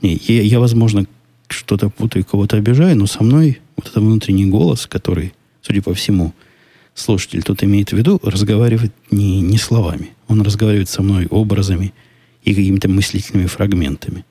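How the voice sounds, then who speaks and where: A man with a deep voice hosting a solo podcast, answering listener questions in a casual, musing way, often skeptical and restarting his sentences. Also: a little fast, warm tone, slightly rough, no echo.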